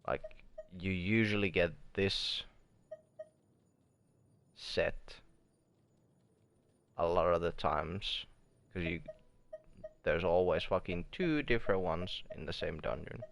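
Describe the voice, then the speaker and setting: A man's voice: deep voice, takes it slowly, gravelly, long pauses, fairly bright tone. A man chatting casually as he streams a game, muttering in a laid-back way and swearing often. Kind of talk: casual gameplay stream chat.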